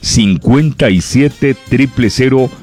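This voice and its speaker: A man hosting a radio comedy show, chatting in a lively way and reading out listener greetings. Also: medium pitch, slow, faint music behind. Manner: lively and chatty, breaks off and repeats words.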